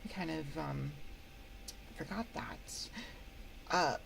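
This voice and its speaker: Woman acting as shy awkward shade girl under the bed, lowish slow voice, stammers n rambles, asmr roleplay. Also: gravelly, noisy background, full character voice. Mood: nervous, apologetic. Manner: nervous, stammering, rambling, apologetic. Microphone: close binaural microphone.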